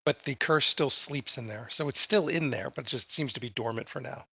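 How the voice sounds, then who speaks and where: A man's voice: mid-range voice, fairly quick, vocal fry, sharp tone, husky, dry recording, muffled recording. A man playing a tabletop game, talking casually and stammering over his words. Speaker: player.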